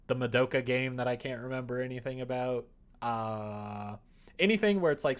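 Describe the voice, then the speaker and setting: A man live streaming as he develops a visual novel, casually thinking aloud and chatting with viewers as he works. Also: medium pitch. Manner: thinks aloud, mutters instructions while working, chats back to viewers. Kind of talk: casual livestream chat while coding, often muttering to himself. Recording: faint background noise with keyboard typing.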